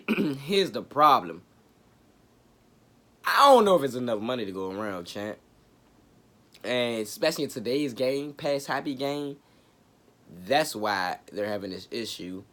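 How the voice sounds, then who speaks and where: A man hosting his own sports talk show, raspy from a cold, chatting casually with slang and jokes. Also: on the low side, a bit bright. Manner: laid-back, joking, chatty with slang. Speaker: sports podcast host.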